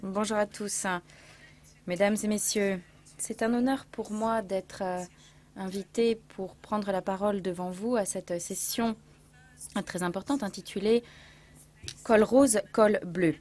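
A woman gives a formal address from a lectern in a large hall and reads her prepared text in a measured way. The lectern microphones pick up her middle-pitched voice. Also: steady tone, darkish tone, slight background noise. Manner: measured, formal, read from notes.

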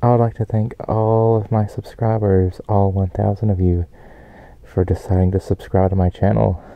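A man hosting an ASMR channel, speaking softly and gently to viewers, close to the microphone. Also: fairly low pitch, flat delivery, moderate pace, vocal fry, dry recording, highs cut off. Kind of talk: soft-spoken ASMR.

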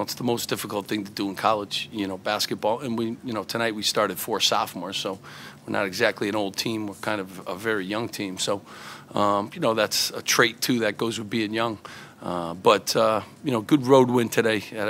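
A man's voice: on the low side, fairly even intonation, a little fast, few pauses, fairly bright tone, a little hiss or hum. A middle-aged man, a head basketball coach, answering reporters at a postgame press conference, earnest and full of fillers and restarts, into table microphones.

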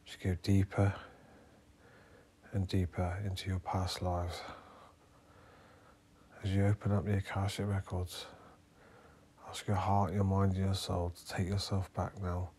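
Slow, low-voiced man guiding a meditation. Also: monotone, husky. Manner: hushed, instructing in short, spaced-out phrases. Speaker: hypnotherapist leading a guided meditation. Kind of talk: guided hypnotherapy meditation.

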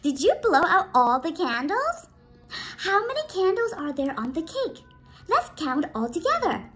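Very high, animated woman telling children's stories. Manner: animated, exclaiming, coaxing questions with drawn-out words. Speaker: children's storytime teacher. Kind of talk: interactive storytelling for preschoolers. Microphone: phone or tablet video call, close to face. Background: music.

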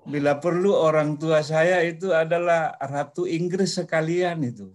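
Guy in his 50s on a video call, slow careful talker, halting, asking a question in a seminar. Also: on the high side. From Indonesia. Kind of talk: discussion question in an online forum. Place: small office, indoors. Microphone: video call, laptop at desk distance.

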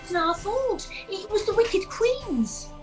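A beseeching pantomime princess, earnest and melodramatic. Her voice is notably high, with faint music underneath. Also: big pitch swings, a little slow, exaggerated voice. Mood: pleading. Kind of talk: comic pantomime acting.

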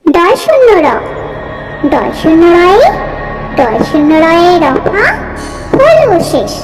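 Woman, extremely high voice, singsong children's rhymes, animated intonation, long echoing reverb. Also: takes it slowly. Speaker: repeater of children's alphabet rhymes. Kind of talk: slow singsong nursery rhyme recitation for children. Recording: long reverb, music behind. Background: music.

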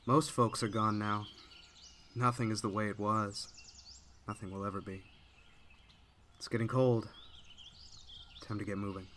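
An actor playing a lonely young man surviving on his own, speaking quietly and wearily in a radio drama. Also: fairly low pitch, flat delivery, a little slow, pauses often, a little hiss or hum. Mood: weary. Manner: quiet, weary, reflective.

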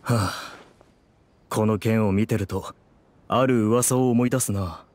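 A man's voice: on the low side, fairly even intonation, slow pace, noisy background. An actor playing a chatty, gossipy but loyal policeman in a Japanese video game, casual and conversational.

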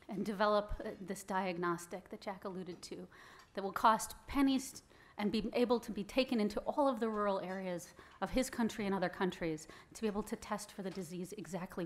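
Woman in her 30s doing an award acceptance speech, earnest, punches key words, stand mic in a hall. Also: medium pitch. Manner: earnest, rising emphasis on key phrases. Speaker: award recipient giving speech. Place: event hall with audience, indoors. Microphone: stand mic at podium.

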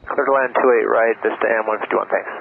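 A man's voice: on the low side, fairly even intonation, fast talker, creaky. A man giving clipped readbacks as a pilot over aviation radio. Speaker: airline pilot. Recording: tinny cockpit radio, faint noise.

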